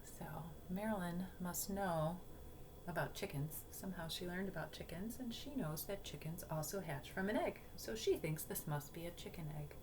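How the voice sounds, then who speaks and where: A woman's voice: on the low side, moderate pace, background noise. A woman teacher reading a storybook to children expressively and explaining it in simple phrases.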